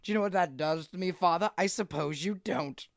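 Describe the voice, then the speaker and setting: A man's voice: high-pitched, brisk, dry recording, clean studio sound, pitched above the performer's own voice. Man acting a fussy, exasperated cartoon son. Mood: aggrieved. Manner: whiny, aggrieved, dramatic venting. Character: exasperated, fussy, obsessive son pleading with father.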